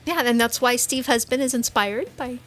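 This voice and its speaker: A woman's voice: fairly high pitch, normal speed, faint music behind. A woman co-hosting a podcast in casual conversation, often laughing as she talks. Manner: giggly, laughs often while talking. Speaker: podcast co-host. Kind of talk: casual chat.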